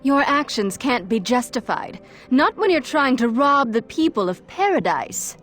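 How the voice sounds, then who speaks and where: A woman's voice: high voice, big pitch swings, unhurried, fairly bright tone, soft background music, heavily acted. A woman voicing a stern, self-righteous female antagonist in a fantasy video game, formal and accusatory. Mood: stern, accusing. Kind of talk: video game cutscene acting.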